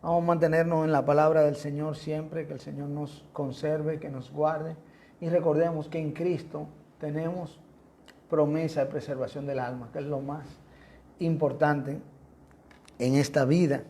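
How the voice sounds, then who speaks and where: A man's voice: on the high side, little pitch movement, moderate pace, mellow, slight background noise. A man, a pastor with a Dominican accent, preaching a sermon in an emphatic, repetitive cadence. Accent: Dominican Spanish. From Dominican Republic.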